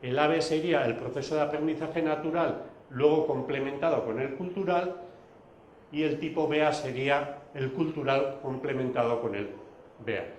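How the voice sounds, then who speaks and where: A man whose first language is Basque, giving a measured recorded lecture with many pauses and restarts. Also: fairly high pitch, darkish tone, roomy sound, slight background noise, low-quality recording.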